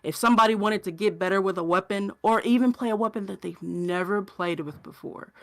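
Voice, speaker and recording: A podcast co-host chatting casually about gaming, with informal, somewhat halting phrasing. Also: mid-range voice, fairly bright tone.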